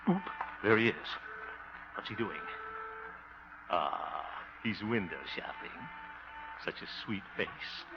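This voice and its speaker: An actor playing a blustery, trusting, soft-hearted small-town man in a 1950s American radio comedy, flustered and wisecracking, with an American accent. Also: fairly low pitch, takes it slowly, vocal fry, long pauses, music in the background. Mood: worried muttering. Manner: flustered, wisecracking, exclaiming with nervous chuckles. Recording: muffled, noisy 1950 radio broadcast.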